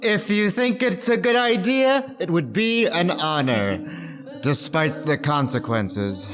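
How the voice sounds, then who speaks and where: A man's voice: high-pitched, animated, slow, a bit bright, heavily acted. An actor playing a courteous, eager-to-please village alderman in a comic period play, deferential and formal. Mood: obliging. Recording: reverberant hall, music behind.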